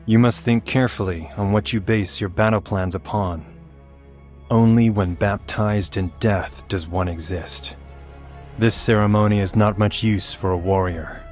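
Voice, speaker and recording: A man voicing a grave, ominous hunter warrior in a video game, speaking in a solemn, measured, formal way. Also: low-pitched, a little mellow. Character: grave, ominous male abyssal hunter warrior, distrustful of land dwellers. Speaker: voice actor for a video game character. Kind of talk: scripted video game character lines.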